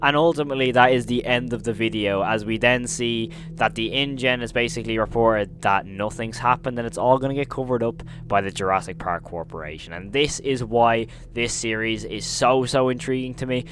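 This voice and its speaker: A man's voice: mid-range voice, brisk, few pauses, a bit bright, slight background noise. A man hosting a casual recap commentary, telling the story emphatically and leaning on intensifiers. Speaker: video host and narrator.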